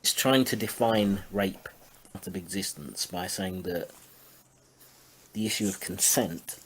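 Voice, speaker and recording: A man with a deep voice talks through his analysis in a casual panel discussion. His phrasing is tentative and measured, and there is faint noise behind him. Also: poor audio. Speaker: panelist on a commentary show.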